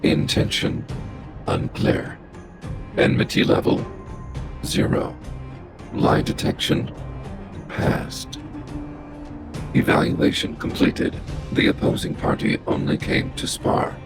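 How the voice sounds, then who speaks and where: An actor playing a huge, stern, logical robot boss in a video game, gravelly and speaking in clipped, formal, computer-like phrases. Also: male voice, takes it slowly, long pauses, over music, close to an everyday voice. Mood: impassive, formal.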